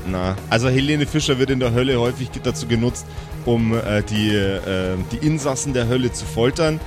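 A man with a mid-pitched voice narrates an improvised tabletop audio play as game master. He feels his way through scene descriptions and jokes with the players, with guitar music clearly underneath. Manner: halting scene-setting, joking with players.

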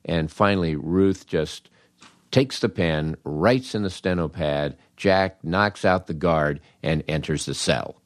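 An older American man with a low-pitched voice tells anecdotes as a podcast host. His delivery is conversational and wry, with an animated storyteller's touch. The sound is dry, with very little room in it. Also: slow pace, dry recording. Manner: wry, animated, acts out dialogue in his stories.

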